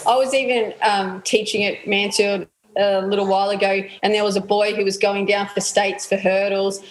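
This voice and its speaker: A woman in her thirties with an Australian accent, answering interview questions in a relaxed way, with lots of ums and yeahs. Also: medium pitch, normal speed, echoey room, a little hiss or hum, poor audio. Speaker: athlete guest.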